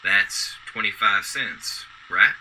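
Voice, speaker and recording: An actor playing a kindly, courteous garbage truck driver in a radio-style drama. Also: male voice, on the low side, slow pace, background noise. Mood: friendly. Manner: courteous, gently conversational. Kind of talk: old-time radio drama acting.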